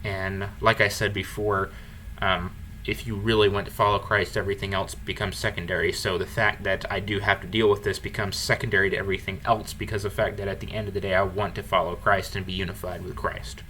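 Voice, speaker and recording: A deep-voiced male podcast host speaking with a bright, forceful edge despite his low register. He reflects candidly and earnestly, often circling back to restart a phrase. The talk is unscripted and conversational. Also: little pitch movement, a little fast, slight background noise.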